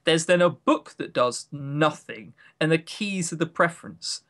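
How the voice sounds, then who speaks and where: British man with swooping, animated pitch. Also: a little slow. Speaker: podcast co-host. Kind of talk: casual chat about visual novels.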